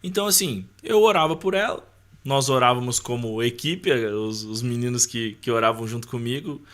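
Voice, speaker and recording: An adult man with a medium-pitched voice. He talks casually, like a podcast guest recounting his own life. He often acts out bits of remembered dialogue and drops in small tag questions. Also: lively intonation, normal speed, a bit bright. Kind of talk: casual personal storytelling.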